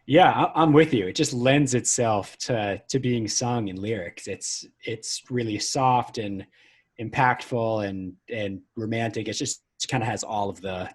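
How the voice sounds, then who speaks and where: A man, a guitarist and producer, chatting as a podcast guest in a relaxed, agreeable way. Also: on the low side, measured pace, husky. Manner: agreeable, laid-back, laughs easily. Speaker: guest musician. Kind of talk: casual conversation.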